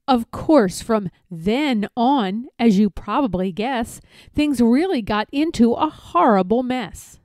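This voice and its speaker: A woman reading rhyming verse aloud for children in an expressive, measured storybook style. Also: medium pitch, no echo. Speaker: storybook reader.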